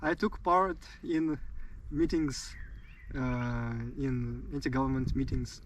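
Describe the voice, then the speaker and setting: A man's voice: medium pitch, slow pace, distant mic, slight background noise, low-quality recording. A man in his twenties with a Russian accent, answering interview questions in hesitant English and searching for his words. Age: 20s. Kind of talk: casual interview outdoors.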